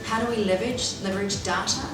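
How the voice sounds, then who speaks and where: A middle-aged woman, answering interview questions in a measured, businesslike way with frequent fillers and restarts. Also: medium pitch, steady tone, loud music behind the voice. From Australia. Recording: echoey room, far from mic, loud background noise.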